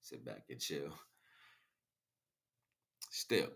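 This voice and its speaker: A man's voice: very deep voice, expressive, very slow, creaky, fairly bright tone, slightly rough. An American man livestreaming a freestyle spiritual monologue in a rhythmic, rhyming, slangy way. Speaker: livestream host.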